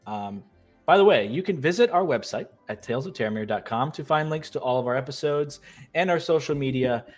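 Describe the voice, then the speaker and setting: A man's voice: medium pitch, a little fast. A man in the middle of his range, slightly nasal as he gets over a cold, hosts a tabletop game and narrates a recap. He tells the story steadily, dotting it with "uh" and "um". Faint music sits underneath. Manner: steady storytelling with frequent "uh" and "um" fillers. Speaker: game master and host. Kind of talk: show intro and recap narration.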